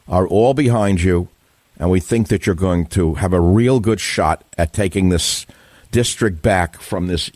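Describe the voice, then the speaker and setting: A man's voice: sits low, a little mellow, no room sound. An older man with a New York accent hosting a radio call-in interview, asking combative, blunt questions with rising emphasis. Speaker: radio talk show host.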